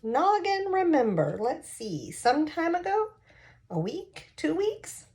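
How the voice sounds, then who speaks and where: A quirky, forgetful old lady from a storybook, acted out by a woman reader. Her pitch sits high and swoops as she muses in short, quaint questions, with a chirpy, mischievous temper. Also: very animated, slow, a little mellow, exaggerated voice. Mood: chirpy, musing.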